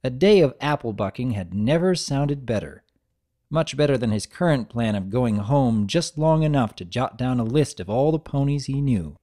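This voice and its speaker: A male narrator gives a dramatic story reading. His voice is medium in pitch and moves about animatedly through the scripted prose. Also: lively intonation, normal speed, dark tone.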